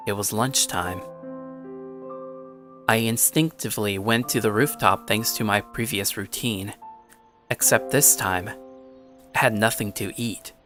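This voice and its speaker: An actor playing an anxious, earnest teenage schoolboy who narrates an anime-style story in a conversational, introspective way. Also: male voice, lowish voice, natural voice. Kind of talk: anime-style audio story, first-person scripted narration.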